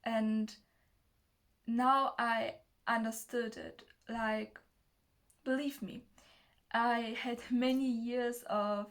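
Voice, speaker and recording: A nineteen-year-old woman from Germany with a German accent, talking casually to the camera in a nervous, hesitant, halting way as a non-native speaker. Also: on the high side, fairly even intonation, fairly bright tone. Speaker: self-development video creator. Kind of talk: casual personal talk to camera.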